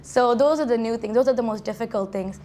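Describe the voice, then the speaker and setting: A woman's voice: fairly high pitch, fast talker, warm tone, slight background noise. A young woman who grew up in Saudi Arabia, answering interview questions in a chatty way, full of restarts and "like" fillers, in a university dorm. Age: young adult. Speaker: college student guest.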